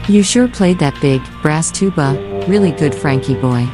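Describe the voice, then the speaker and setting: A woman playing a woozy, flattering gangster's girlfriend who has had a few drinks, in a comic radio play. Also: low-pitched, a little slow, music in the background. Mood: tipsy, admiring. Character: tipsy female companion of a tuba-playing gangster.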